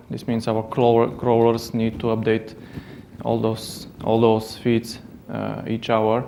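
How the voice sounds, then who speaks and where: A man's voice: fairly low pitch, creaky, darkish tone, a little hiss or hum. A man with a Bulgarian accent giving a technical conference talk, hesitant, with many fillers and restarts. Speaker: conference speaker. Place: conference hall.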